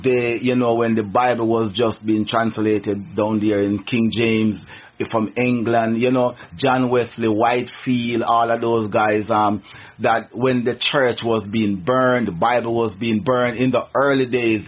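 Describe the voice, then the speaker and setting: A middle-aged man from Jamaica with a Jamaican accent, a radio guest telling his story earnestly, with many restarts. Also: medium pitch. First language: Jamaican Patois. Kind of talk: casual interview, testimony. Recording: muffled radio recording.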